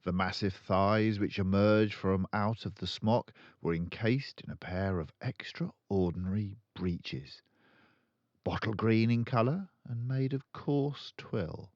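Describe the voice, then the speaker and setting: Older guy reading a kids book, slow and very expressive, close dry slightly muffled sound. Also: fairly low pitch, no room sound. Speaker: storyteller.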